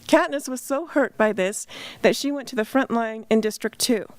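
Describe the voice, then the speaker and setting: A woman's voice: on the high side, normal speed, no room sound. A woman narrating a scripted recap for a radio drama. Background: music.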